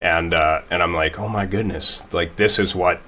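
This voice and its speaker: A man's voice: deep voice, steady tone, moderate pace, sharp tone. A man hosting an interview, talking hesitantly with many restarts as he works toward a question. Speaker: host. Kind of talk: interview conversation.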